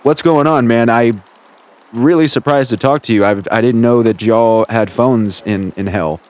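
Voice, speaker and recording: A man hosting a casual show, talking in a hesitant, halting way. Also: medium pitch, darkish tone. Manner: hesitant, halting, full of fillers. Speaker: host. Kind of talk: casual chat.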